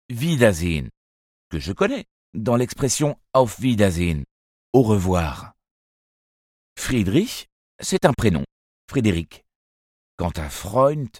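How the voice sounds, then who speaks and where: Man, audiobook narrator, low voice, lively intonation, slow pace, dry close recording. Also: no echo. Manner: measured, expressive storytelling. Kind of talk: scripted narration of a children's novel.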